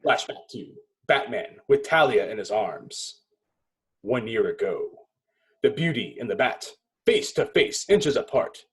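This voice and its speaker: Husky man narrating a screenplay. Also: medium pitch, sing-song, poor audio. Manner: dramatic, clipped phrases with pauses. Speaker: narrator reading stage directions. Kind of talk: dramatic screenplay narration in a table read.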